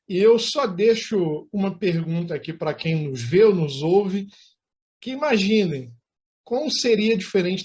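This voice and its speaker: A man's voice: on the high side, a little mellow. A man with a Brazilian accent hosting an online interview in formal, wordy phrasing. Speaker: interview host.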